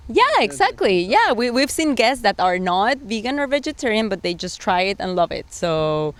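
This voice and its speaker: A woman's voice: mid-range voice, sing-song, normal speed. A woman giving agreeable, explanatory interview answers as a sales director, with phrasing. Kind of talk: interview answers pitching a resort.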